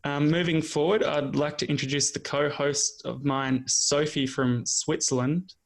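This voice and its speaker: A man's voice: on the high side, fairly even intonation, unhurried, vocal fry. A man in his twenties with an Australian accent, introducing himself and his podcast in a casual, slightly hesitant, unscripted way. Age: 20s. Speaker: podcast co-host.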